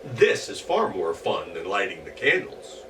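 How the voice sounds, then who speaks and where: A man voicing a clever, teasing storybook hero in an unhurried way. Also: low-pitched, huge pitch swings, far from the mic, noisy background, full character voice.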